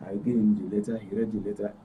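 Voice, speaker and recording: A man in his fifties with a Northern Nigerian accent, telling a personal story haltingly and repeating phrases. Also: fairly low pitch, little pitch movement, breathy edge, phone-quality sound. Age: 50s. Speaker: panel guest. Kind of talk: personal storytelling in a studio discussion. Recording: distant mic with faint noise.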